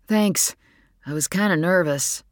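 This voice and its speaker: A man's voice: sits high, unhurried, no echo, studio quality, pitched above the performer's own voice. A woman doing a sullen teenage boy. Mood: excited. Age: teens. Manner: mumbling, dismissive, impatient. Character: sullen, awkward teenage son.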